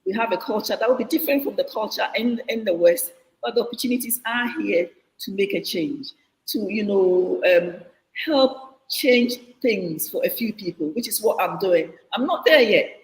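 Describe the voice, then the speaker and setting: A woman's voice: medium pitch, expressive, moderate pace, long reverb tail, poor audio. An older woman from Ghana, talking earnestly and reflectively as an interview guest over a video call, often restarting her sentences. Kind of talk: casual interview answers.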